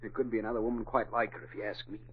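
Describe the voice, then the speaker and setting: An actor playing a slangy, wisecracking young man with an excitable manner in a 1940s radio drama. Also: lowish voice, very fast, natural voice. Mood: carefree, joking. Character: boyish, carefree young escort on a date. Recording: muffled, very noisy 1940s radio recording.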